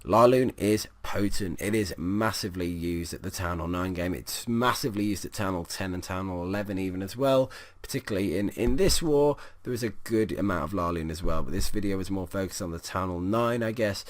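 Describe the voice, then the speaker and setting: A hoarse man commentating on a strategy game, his voice sitting lower than usual as it starts to give out. He talks casually and rambles, with plenty of ums and restarts. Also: fairly quick. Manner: rambling, many fillers and restarts.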